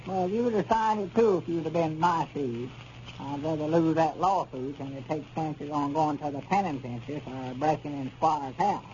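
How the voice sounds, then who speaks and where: Man playing a high-voiced hapless old country fellow. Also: moderate pace, a little mellow, lightly acted. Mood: defensive. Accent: Southern US, rural Arkansas. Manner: folksy, plaintive, simple-minded. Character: hapless, naive old country storekeeper, stubbornly simple. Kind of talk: 1930s radio comedy serial acting. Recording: dull, noisy 1930s radio recording.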